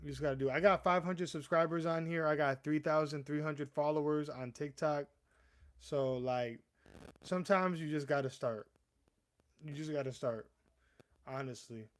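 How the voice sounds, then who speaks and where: A young man hosts a casual live stream, talking loosely to his viewers in a slightly high voice. He rambles, stammers, and restarts his sentences often, calling everyone "bro.". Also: moderate pace. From US East Coast. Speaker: livestream host.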